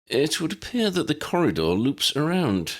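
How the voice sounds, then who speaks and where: A bookish young wizard from a fantasy audiobook, played by a man. He speaks formally and a little pompously, pitched up from a low, husky register. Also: wildly swooping pitch, moderate pace, heavily acted. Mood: measured, observant.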